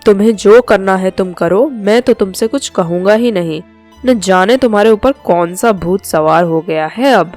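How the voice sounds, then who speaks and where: A woman's voice: mid-range voice, normal speed, a little mellow, soft background music, voice pushed down, natural voice. A woman doing a grumbling old husband. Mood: scolding. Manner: scolding, exasperated. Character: grumbling, disapproving old husband and father-in-law. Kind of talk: storybook cartoon dialogue.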